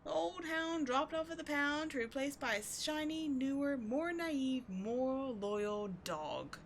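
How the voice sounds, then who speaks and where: A woman's voice: high-pitched, animated, slow, full character voice. A woman playing a bitter, wounded villain who secretly cares, sardonic and exasperated, in a dramatic ASMR audio roleplay. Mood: bitter, resentful. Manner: sardonic, exasperated, emotionally intense. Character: bitter, wounded female villain secretly caring for rival sidekick. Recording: clearly audible background noise.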